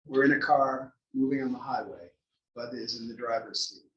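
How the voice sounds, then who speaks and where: A man's voice: mid-range voice, moderate pace, dull audio. A man reading scripted stage directions as the narrator of a table read. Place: library room before an audience. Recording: echoey room, distant mic.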